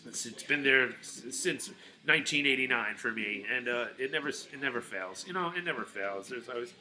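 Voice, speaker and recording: A middle-aged man talks to his viewers in a loose, rambling way, full of restarts and filler words. His voice is very bright and forward, set at a middle pitch. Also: brisk, rough recording. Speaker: vlogger. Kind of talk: casual rambling monologue to viewers.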